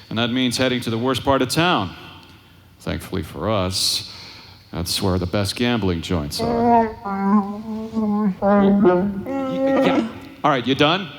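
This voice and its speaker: Cocky space smuggler, sarcastic wisecracks, really animated bright voice, audio drama. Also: male voice, mid-range voice, measured pace, long pauses. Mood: wry, self-assured. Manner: wisecracking, swaggering. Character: cocky, sarcastic roguish smuggler pilot, adult man. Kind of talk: live audio drama acting.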